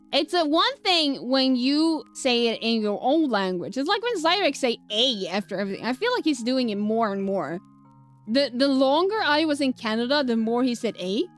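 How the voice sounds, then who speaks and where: A woman whose first language is Swedish, speaking with a light Swedish accent as a streamer chatting casually, rambling, fumbling her words and laughing often. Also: on the high side. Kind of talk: casual live-stream chat.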